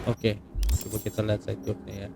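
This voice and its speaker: A man's voice: lowish voice, completely flat delivery, fast talker, vocal fry, music in the background. A man with a Jakarta Indonesian accent giving casual, slangy gameplay commentary and thinking aloud. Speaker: gaming video host.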